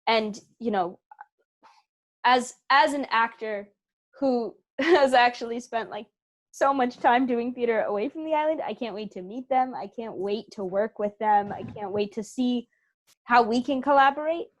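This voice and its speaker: A woman's voice: fairly high pitch, measured pace. A woman actor being interviewed, speaking conversationally and thoughtfully, repeating phrases and checking in with "right?". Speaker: interviewed actor from a theater company. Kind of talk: earnest conversational interview answers.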